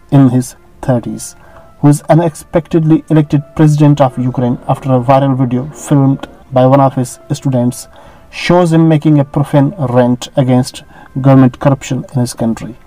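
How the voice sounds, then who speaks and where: Mellow-voiced man reading a biography. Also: on the high side, poor audio. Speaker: narrator. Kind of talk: scripted biography narration.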